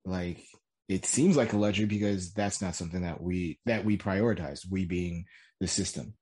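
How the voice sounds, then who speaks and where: Low, husky male podcast host. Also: moderate pace, darkish tone. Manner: musing, sums up what others said. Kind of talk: conversational podcast interview.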